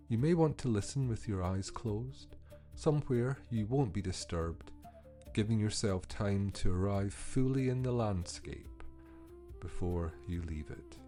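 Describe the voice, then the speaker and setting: Low mellow guy doing a guided meditation, soothing and unhurried, soft music under him. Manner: soothing, unhurried, measured pauses. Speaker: podcast host and meditation guide. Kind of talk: guided meditation, scripted narration.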